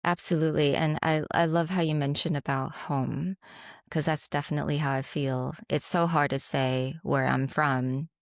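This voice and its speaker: Woman host, low voice, gravelly fry, dry sound, affirming questions. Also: fairly even intonation, normal speed, no echo. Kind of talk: casual interview chat.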